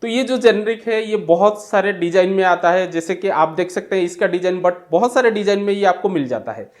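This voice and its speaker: A man giving a product demonstration and review, explaining plainly step by step. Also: high-pitched.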